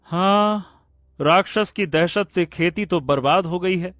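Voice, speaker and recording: A man playing an excitable, kind-hearted young prince in a cartoon fairy tale, with theatrical drawn-out exclamations. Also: high-pitched, no room sound, clean studio sound. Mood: benevolent, decisive. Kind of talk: animated Hindi moral-story cartoon dialogue.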